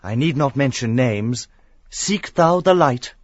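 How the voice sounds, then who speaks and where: A man voicing a pious, discreet Quaker gentleman with measured speech, in an audiobook drama. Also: medium pitch, expressive, no echo, muffled recording, pitched above the performer's own voice. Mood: discreet piety.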